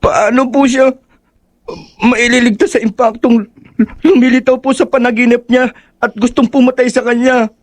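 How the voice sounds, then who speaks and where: A worried young boy, voiced by a man in a radio horror drama, his voice pushed up high. He keeps pleading and sounds childlike. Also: fairly even intonation, moderate pace.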